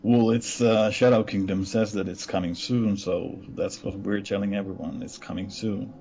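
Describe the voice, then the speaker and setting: Finnish-accented man, low muffled voice. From Finland. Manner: relaxed, halting with many uhs. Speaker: band member interviewed. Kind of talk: casual interview.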